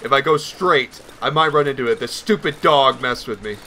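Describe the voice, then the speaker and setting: Bright-voiced man doing nervous game commentary. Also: medium pitch, normal speed, slight background noise. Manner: talks to himself, nervous rambling. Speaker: gaming video host. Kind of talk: casual let's play commentary.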